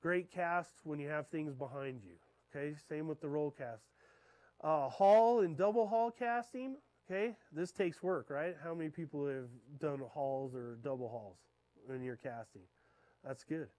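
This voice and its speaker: A man in his thirties, a fishing guide, giving a talk in a darkened lecture room and checking in with his listeners with a steady "okay?". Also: on the high side, a little slow, no room sound, rough recording. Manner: keeps checking in with the audience with "okay?". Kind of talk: classroom talk to an audience. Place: dark lecture room beside a projector screen.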